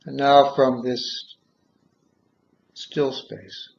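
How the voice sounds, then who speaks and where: A man guiding a meditation in measured short phrases with long pauses, on a dull, muffled recording. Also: mid-range voice, little pitch movement, gravelly. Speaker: guided meditation leader. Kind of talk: guided meditation, slow soothing instruction.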